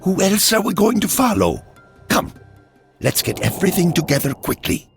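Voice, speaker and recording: A man voicing an excitable little dwarf smith in a video game cutscene, exclaiming and pleading. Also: high voice, normal speed, gravelly, over music, heavily acted. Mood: gruff, resigned.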